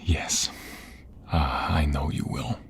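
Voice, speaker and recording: A man playing a tender, excitable husband and father-to-be in an intimate ASMR audio roleplay, halting and emotional, often dropping toward a whisper. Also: a bass voice, gravelly, slight background noise, heavily acted. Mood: earnest, devoted. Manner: halting, emotional, repeats himself. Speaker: voice-over roleplay performer.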